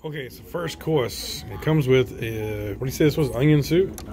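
A man's voice: mid-range voice, moderate pace, a little mellow, faint music behind. A middle-aged man giving casual, unscripted vlog commentary in a hesitant, rambling way. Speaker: vlog host.

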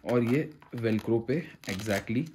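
A man's voice: mid-range voice, extremely slow, a little mellow, slight background noise. A man explains a product step by step in a conversational vlog style, mixing in English phrases. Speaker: motorcycle gear reviewer. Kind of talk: casual hands-on product tutorial.

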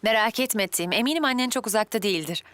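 A woman's voice: medium pitch, lively intonation, quick pace, a bit bright, slight background noise, natural voice. A woman voicing a helpful, reassuring school secretary in a children's cartoon dub.

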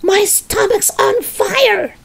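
A woman as a shrieking, cranky old grandma, very high. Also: takes it slowly, vocal fry, full character voice. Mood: panicked yelling. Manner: yelling, outraged. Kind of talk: children's storybook character voice.

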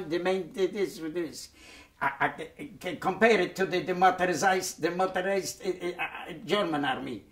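Very old man. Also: high voice. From Poland. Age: 90s. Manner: halting, many repeated words and restarts. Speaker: Holocaust survivor giving testimony. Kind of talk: oral history interview. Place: dark studio set, indoors.

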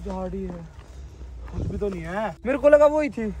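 A young man joking and teasing loudly with friends on a vlog. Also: high voice, animated, normal speed, muffled recording, a little hiss or hum. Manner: teasing, loud, jokey. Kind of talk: casual chat.

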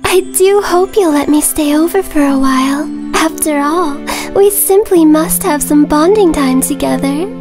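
A woman's voice: sits high, moderate pace, music in the background, natural voice. A woman voicing a devoted, clingy young woman in a game, coy and doting. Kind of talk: game voice acting.

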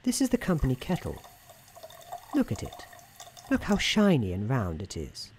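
Soft-spoken man narrating, with lively swoops. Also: mid-range voice, moderate pace, slight background noise. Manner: wry, mock-grand storyteller. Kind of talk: scripted story narration, soft-spoken ASMR roleplay.

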